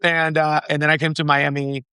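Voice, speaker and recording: A young man from the Dominican Republic, a founder being interviewed, talking in an animated way with frequent laughs and restarts. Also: on the high side, a little fast, clean tone, no room sound. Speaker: startup founder, guest. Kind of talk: casual interview chat.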